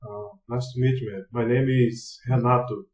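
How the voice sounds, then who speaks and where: A Brazilian man with a Brazilian Portuguese accent, speaking halting English with many fillers in a casual practice chat over a video call. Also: mid-range voice, fairly even intonation, a little mellow. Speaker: channel host, English learner. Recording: roomy, dull lo-fi sound.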